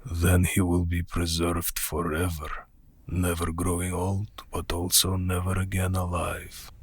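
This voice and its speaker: An actor playing an ancient vampire count in a radio play, husky and gravelly, with formal, courtly, menacing speech. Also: male voice, low-pitched, completely flat delivery, no room sound. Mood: brooding curiosity. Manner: slow, formal, deliberate.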